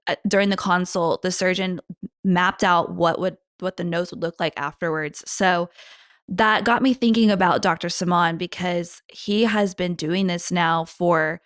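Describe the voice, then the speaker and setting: A woman's voice: on the low side, fairly even intonation, unhurried, fairly bright tone, dry recording. Woman podcast host, slightly nasal, bubbly and chatty, dry close sound. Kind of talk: casual chat.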